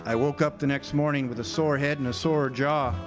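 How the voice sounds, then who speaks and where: An actor playing a wry, defiant war-veteran drifter who also narrates a hardboiled radio drama. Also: male voice, mid-range voice, fairly even intonation, fairly quick, natural voice. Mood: world-weary. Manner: wisecracking, hardboiled. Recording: live amphitheater stage, music behind.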